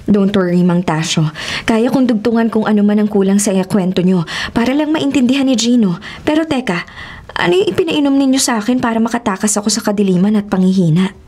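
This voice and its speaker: A woman's voice: mid-range voice, a little fast, close to an everyday voice. A woman playing a defiant, shaken young vlogger in a radio drama, earnest and dramatic. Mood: weary, determined. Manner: earnest, dramatic, mixing English with Tagalog. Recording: loud music behind. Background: dramatic music.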